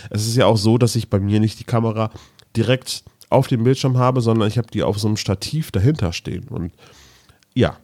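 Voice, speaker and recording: A man's voice: on the low side, brisk, darkish tone. A man hosting a podcast, chatting casually and explaining things in a rambling way. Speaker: podcast host.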